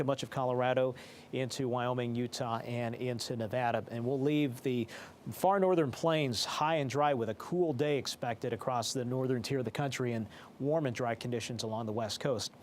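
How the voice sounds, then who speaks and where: A man's voice: mid-range voice, a little hiss or hum. A man presenting a television weather forecast in a formal, explanatory broadcast manner. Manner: formal, explanatory broadcast delivery with technical phrasing. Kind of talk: live weather forecast commentary.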